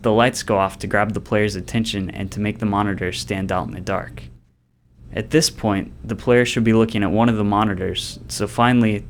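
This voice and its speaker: A somewhat low-voiced man reads scripted developer commentary. There is faint ambient noise behind him as he explains design decisions in prepared sentences. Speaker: game developer.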